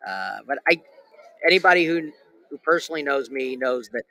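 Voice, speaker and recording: A man's voice: medium pitch, animated, moderate pace, sharp tone, a little hiss or hum, rough recording. A man hosting a casual sports betting talk show, rambling with many restarts and fillers. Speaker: podcast host. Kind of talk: casual chat.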